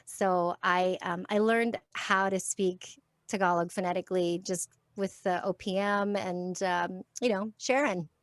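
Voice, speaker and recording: A middle-aged woman from the United States talking casually on a video call from her home, halting and restarting, laughing easily. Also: on the low side, fairly even intonation, no room sound. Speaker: voice actor guest. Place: home room with sound panels.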